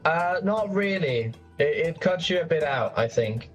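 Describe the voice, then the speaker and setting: Excited man on a voice call. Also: fairly high pitch, unhurried, faint music behind. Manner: enthusiastic, laughs easily, filler "like". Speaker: co-host on a voice call. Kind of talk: casual chat over voice call. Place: home.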